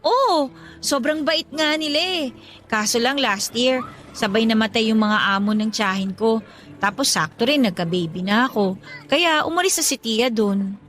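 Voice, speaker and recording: A woman's voice: fairly high pitch, animated, moderate pace, a bit bright. A woman playing a friendly, teasing young working mother in conversational radio drama dialogue. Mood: reflective.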